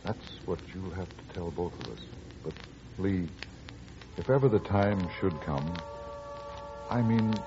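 An actor playing an earnest, lovelorn navy skipper in old-time radio drama, deliberate and halting. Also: male voice, low voice. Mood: hurt, restrained. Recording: muffled, noisy old radio recording.

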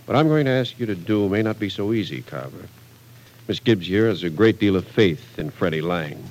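A commanding police captain with a lowish voice in a vintage radio crime play. He is curt, measured and stern, but fair. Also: lively intonation, a little mellow, rough recording. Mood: stern, formal. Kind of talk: old-time radio crime drama acting.

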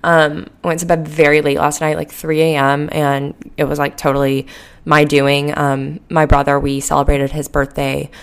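A young woman in her twenties hosting a casual solo podcast, hoarse and sleepy after a late night, rambling with lots of "like" and "um". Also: sits low, level tone, moderate pace. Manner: rambling, heavy use of "like" and "um". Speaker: podcast host.

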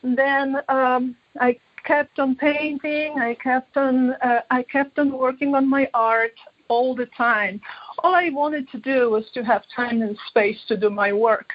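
A muffled, echoey room recording carries the voice of a woman. She speaks very slowly and haltingly, reflecting on her own life as an interview guest. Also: high voice, fairly even intonation. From Poland. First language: Polish. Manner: halting, reflective, searching for words. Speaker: interview guest, artist and author. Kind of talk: personal life story in an interview.

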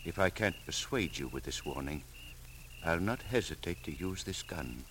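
A very low-voiced, stiffly courteous chauffeur turned crook. His phrasing is formal and menacing, in the manner of 1940s radio crime drama. The old recording has clear noise over it. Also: animated, normal speed. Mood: coolly threatening. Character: polite, menacing male chauffeur turned gunman, coolly formal. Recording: noisy vintage radio recording.

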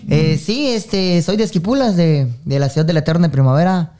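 A man's voice: a little high, fairly quick, crowd noise. A man, a podcast guest who tells his story in an easygoing way and often repeats words. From Guatemala. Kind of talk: casual interview.

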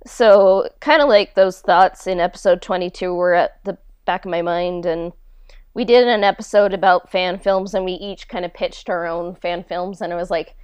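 A woman speaks in a casual, halting podcast style, with a lot of "like" as she goes. Her voice sits on the low side and is very clear and smooth, recorded dry and close. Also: fairly even intonation, darkish tone, dry recording. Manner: halting, conversational, frequent "like". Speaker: podcast host and creator being interviewed.

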